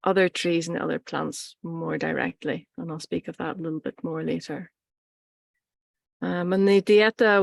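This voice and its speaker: A woman teaching informally, speaking in a measured, reflective way with pauses between short phrases. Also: lowish voice, creaky, no room sound. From Ireland. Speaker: workshop teacher and plant practitioner.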